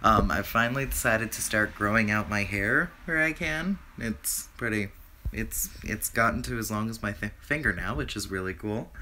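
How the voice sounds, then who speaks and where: A man whose voice is raspy from a recent flu, rambling through a casual video update with lots of fillers and restarts. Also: lowish voice, moderate pace, noisy background. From Canada. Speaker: vlogger.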